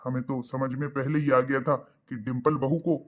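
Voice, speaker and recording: A man voicing an elderly, pious, accommodating father in a cartoon, soft-spoken and reasoning. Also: mid-range voice, little pitch movement, normal speed, close to an everyday voice. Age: older. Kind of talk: cartoon voice acting.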